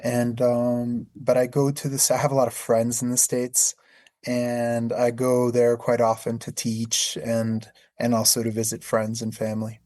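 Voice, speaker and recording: A man from Peru speaks as a podcast guest, his delivery calm and reflective with little rise and fall in pitch. He often repeats words as he gathers his thoughts, and the sound is dry and close. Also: a little slow, no echo. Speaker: guest, healing arts teacher. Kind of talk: interview answers.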